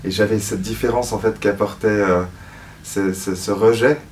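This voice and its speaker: A man with a low, fairly flat voice speaks reflectively in an interview. He hesitates often as he searches for words. The microphone sits at some distance from him. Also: moderate pace, a little hiss or hum. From Switzerland (Lausanne), born in Paris. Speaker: musical theatre actor, interview guest.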